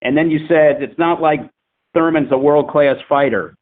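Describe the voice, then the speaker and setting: A man with a somewhat high voice moderates a press call over a thin, narrow phone line. His delivery is casual and wry, with a bantering touch. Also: steady tone, moderate pace. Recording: tinny phone conference line.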